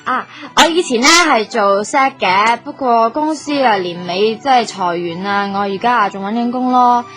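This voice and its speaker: A woman's voice: fairly high pitch, normal speed, hardly any pauses, a bit bright, faint music behind, lightly acted. A woman with a Hong Kong accent playing a perky, eager young woman with a secret in a comic radio drama, coy and chirpy. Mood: innocent, evasive.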